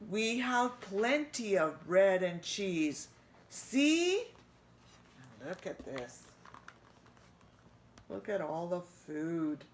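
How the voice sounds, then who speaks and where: A woman's voice: lowish voice, very animated, extremely slow, pauses often, a little hiss or hum, exaggerated voice. A woman putting on a sneering pirate rat. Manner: rough, sneering, mock-sweet. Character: sneering pirate rat gang leader, sly and menacing.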